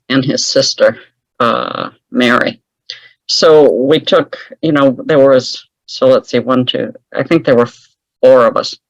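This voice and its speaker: A woman's voice: very deep voice, no room sound, poor audio. Chatty wry woman telling stories. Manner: chatty, wry, laughs often at her own stories. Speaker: guest author. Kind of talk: casual storytelling interview.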